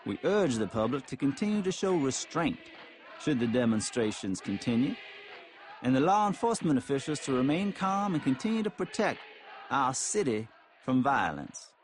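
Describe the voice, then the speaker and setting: A man reading a formal written statement aloud in measured, deliberate phrases. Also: mid-range voice, sing-song, some chatter behind, slight background noise. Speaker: reader.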